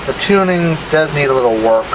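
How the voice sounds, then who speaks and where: A man's voice: animated, brisk. Offhand man with noisy background hum. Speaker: video host showing vintage electronics. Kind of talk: casual unscripted demonstration. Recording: clear background hum and rumble noise.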